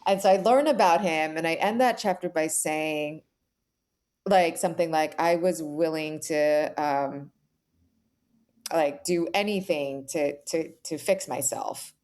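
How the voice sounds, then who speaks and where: Woman on a podcast, lowish voice, candid animated storyteller, says like and right a lot. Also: measured pace. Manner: animated storyteller, acts out quoted thoughts, says "like" and "right?" often; candid, self-described intense. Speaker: guest author. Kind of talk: conversational podcast interview.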